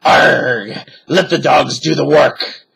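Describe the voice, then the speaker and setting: A man voicing a gruff, cruel pirate captain in a storybook, growling and barking orders. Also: fairly high pitch, expressive, takes it slowly, fairly bright tone, pitched above the performer's own voice, full character voice.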